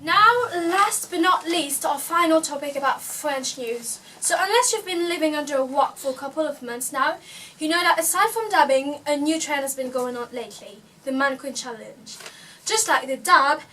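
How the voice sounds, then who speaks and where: Woman, news presenter, high-pitched, chatty, casual fillers, slightly distant mic. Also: normal speed, pushed and bright, a little hiss or hum.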